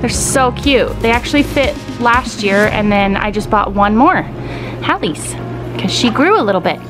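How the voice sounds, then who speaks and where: Upbeat high-voiced mom vlogging. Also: female voice, expressive, normal speed, over music, low-quality recording. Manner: chatty and upbeat, talking straight to viewers. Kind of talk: casual vlog chat to camera. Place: home, car and backyard pool. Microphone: handheld camera at arm's length.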